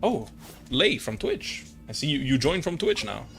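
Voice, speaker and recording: A man's voice: fairly high pitch, big pitch swings, moderate pace, gravelly, a bit bright, soft background music. A young man chatting casually on a gaming live stream, rambling and slangy, playfully exasperated and prone to swearing. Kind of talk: casual live-stream chat while playing.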